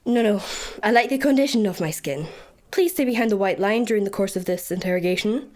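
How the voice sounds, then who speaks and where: An actress playing an officious, withering job centre interviewer in a radio comedy, with a pompous, formal, deadpan delivery. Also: female voice, mid-range voice, a little fast, lightly acted. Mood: curt, officious. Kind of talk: radio sci-fi comedy acting.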